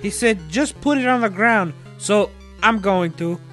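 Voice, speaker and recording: A man's voice: sits high, unhurried, fairly bright tone, soft background music. A man playing a helpful groundskeeper sidekick in a comedic audio drama, speaking plainly and matter-of-factly.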